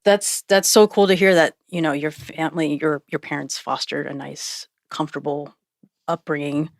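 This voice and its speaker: A woman's voice: low voice, fairly even intonation, moderate pace, no echo, studio quality. A woman co-hosting a podcast, asking questions in a curious, encouraging way. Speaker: podcast co-host. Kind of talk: casual interview questions.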